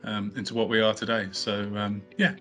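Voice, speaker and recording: Bright-voiced hesitant man, soft music behind. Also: lowish voice, level tone, moderate pace. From not from Australia, studied in the UK. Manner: hesitant, many fillers and restarts. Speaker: company co-founder, podcast guest. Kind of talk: casual interview answers.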